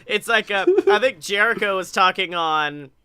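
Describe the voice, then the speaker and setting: High-voiced young man, bright and animated. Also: normal speed, clean tone. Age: young adult. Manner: animated, profane, joking. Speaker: podcast co-host. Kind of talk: casual comedic chat. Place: bedroom-like room at home, video call.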